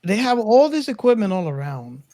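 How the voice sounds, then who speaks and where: A man co-hosting a casual film discussion, reasoning aloud in a deliberate way. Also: sits high, sing-song, warm tone. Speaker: podcast co-host.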